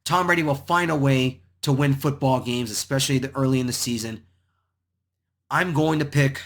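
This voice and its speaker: A man with a hoarse voice hosting his own channel, rambling through his football game picks with many repeats and restarts. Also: medium pitch, a bit bright. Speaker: sports picks channel host. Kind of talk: solo football predictions talk.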